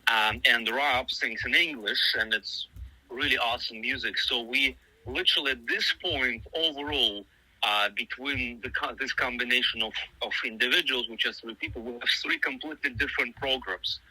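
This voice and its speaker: A man's voice: mid-range voice, normal speed, sharp tone, slightly rough, poor audio. A middle-aged man whose first language is Russian, giving long, thoughtful interview answers full of fillers and restarts. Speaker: musician guest.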